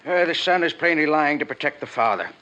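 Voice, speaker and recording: An actor playing a cunning, evasive older man in a 1950s radio drama, speaking in measured, formal phrases. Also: a little high, animated, pushed and bright. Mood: earnest.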